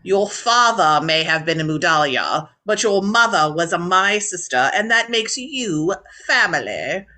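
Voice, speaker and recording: A woman's voice: lowish voice, lively intonation, measured pace, hardly any pauses, pushed and bright. A woman playing an imperious, ailing elderly baroness in a radio drama, speaking in a grand, commanding, formal way. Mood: proud, welcoming.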